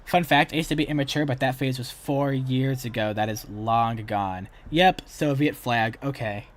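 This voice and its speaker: A man's voice: medium pitch, moderate pace. A man chatting casually as the host of a reaction livestream. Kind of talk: casual reaction stream chat.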